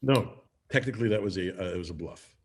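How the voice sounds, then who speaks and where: A sheepish, stammering husband, low voice. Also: male voice, a little mellow, slightly rough. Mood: apologetic. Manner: stammering, halting, hedging. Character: sheepish, evasive sitcom husband, childishly selfish but affectionate. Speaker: sitcom actor at a cast reunion table read. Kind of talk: live comedic script read.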